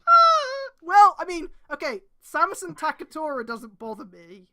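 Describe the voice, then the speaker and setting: A woman's voice: very high-pitched, wildly swooping pitch, a little slow, sharp tone. A woman casually reading a story aloud on a stream, breaking off for irreverent, sweary commentary.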